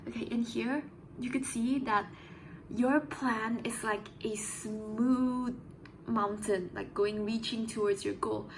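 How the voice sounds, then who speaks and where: A young woman talks through her day in a relaxed vlog, slightly high in pitch and full of casual fillers. A faint hiss of background noise sits under her voice. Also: slow, rough recording. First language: English. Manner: chatty, filler-heavy explaining. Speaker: vlogger.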